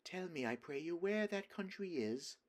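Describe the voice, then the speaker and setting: A man's voice: a little high, animated, moderate pace, pitched above the performer's own voice, lightly acted. A man doing a sweet, coaxing witch queen. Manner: sweet, quiet, half-mocking. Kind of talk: audiobook character voice.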